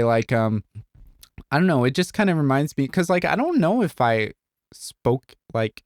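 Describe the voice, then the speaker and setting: A man's voice: medium pitch, no echo. Young man, podcast co-host, rambling chat, lots of "like", dry close mic. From Southern Illinois, USA. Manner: rambling, heavy use of "like" and "um", joking. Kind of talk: casual chat.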